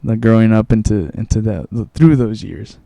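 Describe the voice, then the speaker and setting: A man's voice: on the low side, expressive, normal speed, gravelly, mellow, no echo. A man in his twenties, born in Mexico with Spanish as his first language, chatting casually on a podcast and often repeating words as he recalls. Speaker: guest.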